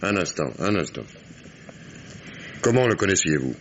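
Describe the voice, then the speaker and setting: Through a dull, faintly hissy old radio recording comes a lowish-voiced police inspector. He interrogates shrewdly and sceptically, with wry, patronising insistence in the manner of vintage mystery drama. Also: sing-song, moderate pace. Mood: wry probing.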